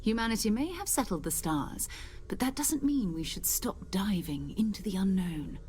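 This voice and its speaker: A woman's voice: medium pitch, animated, moderate pace, a little mellow, slight background noise, natural voice. An actress playing a confident, focused woman who leads space explorers in a video game, composed and encouraging. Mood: assured, welcoming. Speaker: leader of an explorers' group. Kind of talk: video game character dialogue.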